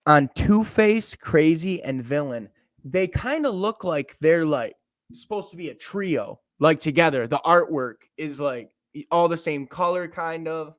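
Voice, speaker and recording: A man's voice: a little high, animated, measured pace, no echo, studio quality. Rambling young man saying "bro" a lot. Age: 20s. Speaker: podcast co-host. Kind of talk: casual chat.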